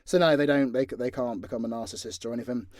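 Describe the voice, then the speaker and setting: A man's voice: medium pitch, quick pace, a little mellow, no room sound, studio quality. A British man in a casual, unscripted Q&A as an audio creator, talking bluntly and swearing freely. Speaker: audio drama creator answering fan questions.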